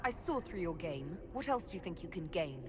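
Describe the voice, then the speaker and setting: A woman's voice: on the low side, sing-song, a little fast, crowd noise. A woman playing a defiant, dry and sardonic fantasy leader in a video game. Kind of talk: video game hero acting.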